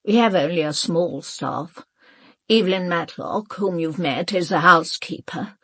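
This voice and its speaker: A woman's voice: fairly low pitch, unhurried, fairly bright tone, breathy edge, dry recording, exaggerated voice. An actress playing a proud, elderly upper-class British mother in a radio drama, speaking formally and precisely with controlled, cool dismissiveness. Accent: upper-class British English. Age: older. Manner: formal, clipped, haughty, precise.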